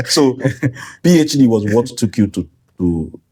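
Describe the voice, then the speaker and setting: A man's voice: medium pitch, a little slow, breathy edge. A man hosting an interview, reacting with exclamations and repeating the guest's words back. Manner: animated, exclaims and echoes the guest. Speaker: host. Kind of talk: interview conversation.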